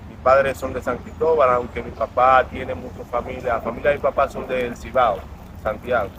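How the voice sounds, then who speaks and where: A man's voice: medium pitch, little pitch movement, moderate pace. A man, a guest telling his life story in an earnest, reflective way. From Dominican Republic. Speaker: interview guest. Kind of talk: personal storytelling in an interview. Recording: outdoor traffic noise.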